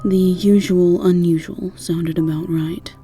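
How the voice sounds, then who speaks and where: A woman's voice: on the low side, steady tone, a little slow, dark tone, soft background music. A woman narrating a scripted fantasy roleplay in the second person, with a wry storyteller's delivery. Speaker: narrator and voice actor.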